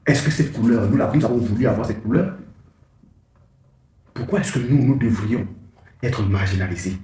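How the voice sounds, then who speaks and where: A man's voice: medium pitch, moderate pace, warm tone, reverberant, slight background noise. A man hosting his own channel, giving an impassioned political speech with repeated phrases and emphatic rises.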